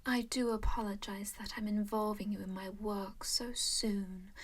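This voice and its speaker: A woman's voice: medium pitch, slightly rough. Woman whispering as a doting vampire noble. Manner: soft whisper throughout; gently possessive and coaxing, calls listener darling and dear. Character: composed, doting female vampire noble who owns a servant. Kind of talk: whispered ASMR roleplay.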